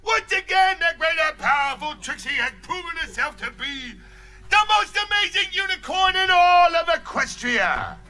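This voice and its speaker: Guy doing a bragging showoff girl unicorn, real high and swoopy, show music under it. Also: big pitch swings, bright, forceful tone, exaggerated voice. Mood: boastful. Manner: grandiose, bragging, drawn-out. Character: boastful showy female unicorn magician. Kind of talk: live fan voice reading.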